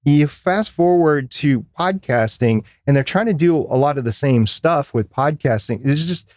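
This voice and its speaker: A man's voice: on the high side, animated, unhurried, a little mellow, no echo. American man co-hosting a podcast, dry close sound. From United States. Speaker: podcast co-host. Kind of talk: casual podcast discussion.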